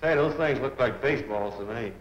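A man's voice: medium pitch, brisk. Colloquial airman in an echoey room. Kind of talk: informal combat debriefing account. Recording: echoey, dull 1940s film with faint noise.

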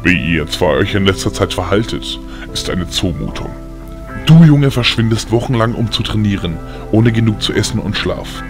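A man voicing a strict, gruff but loving old grandfather who lectures sternly in an audio story. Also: on the low side, lively intonation, normal speed, soft background music, voice pushed down, close to an everyday voice. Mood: stern, disappointed.

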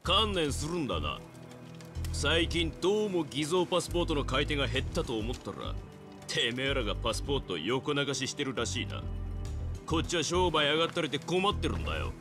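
An actor playing a vicious, hot-tempered gangster bully in a Japanese video game, with rough, threatening street speech. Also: male voice, on the high side, big pitch swings, fairly quick, music in the background. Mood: menacing.

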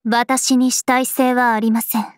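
A woman playing a regal, serene young planetary spirit princess in an anime game, speaking formally and with measured elegance. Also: a little high, little pitch movement, no echo, lightly acted. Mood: serene, reflective. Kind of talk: scripted anime game voice lines. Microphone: studio microphone. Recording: music behind a close dry studio voice.

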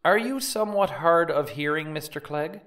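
A man's voice: on the high side, expressive, a bit bright, recorded from a distance. A man playing a formal, probing 1890s prosecuting lawyer questioning witnesses in a dramatic trial reading. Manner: formal, inquisitive, measured.

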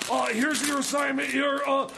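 A man voicing a frantic, stammering boss handing out secret missions in improvised comedy radio theater, full of halting repeats. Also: very high-pitched, level tone, vocal fry, noisy background. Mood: bossy, agitated. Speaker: comic character on a radio show.

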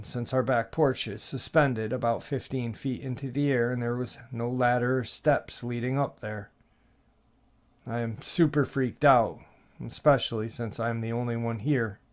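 A man calmly reading out listeners' first-person stories of frightening encounters. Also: mid-range voice. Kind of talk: steady storytelling narration.

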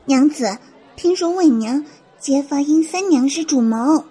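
A woman's voice: high-pitched, expressive, unhurried, mellow, some chatter behind. A woman playing a young, eager maidservant who reports deferentially to her mistress in an audio drama. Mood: eager, hushed urgency. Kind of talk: audio drama character acting.

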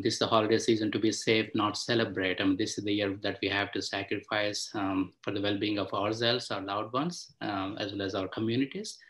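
Man doctor on a zoom call, lowish voice, earnest, lots of ums. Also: a bit bright, poor audio. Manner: earnest, advising, many fillers. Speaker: physician panelist. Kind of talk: panel discussion. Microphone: video call.

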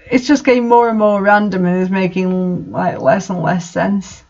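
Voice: mid-range voice, a little mellow. Fan fiction reader, mid-range voice, casual commentary, incredulous manner, slightly muffled recording. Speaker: host reading bad fan fiction aloud.

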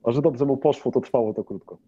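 A man's voice: mid-range voice, quick pace, lo-fi sound, over music. A man improvising as game master of a tabletop game, often repeating himself and checking with a tag question.

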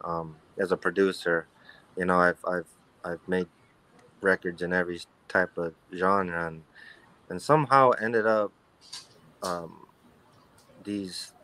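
Deep-voiced man rambling on a video call. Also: a bit bright, low-quality recording. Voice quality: baritone. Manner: rambling, halting, many restarts and fillers. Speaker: guest musician and producer. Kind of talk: casual interview chat. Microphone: webcam on a video call.

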